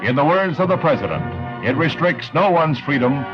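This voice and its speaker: A man's voice: on the high side, lively intonation, moderate pace. An American man reading scripted 1960s newsreel narration in a formal, declamatory manner. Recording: dull 1960s newsreel soundtrack with music. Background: music.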